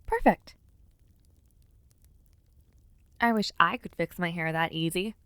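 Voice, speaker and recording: A woman playing a spirited, playfully impatient young noblewoman who hopes to be a knight, in an expressive audio roleplay spoken straight to the listener. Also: on the low side, normal speed, no room sound. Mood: teasing, fond. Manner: expressive, sighing, genteel wording with teasing asides. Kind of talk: audio roleplay acting, addressing the listener directly.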